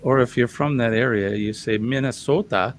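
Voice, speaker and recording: A man co-hosting a casual chat, easygoing and hesitant with many pauses. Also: mid-range voice, slight background noise. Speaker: co-host.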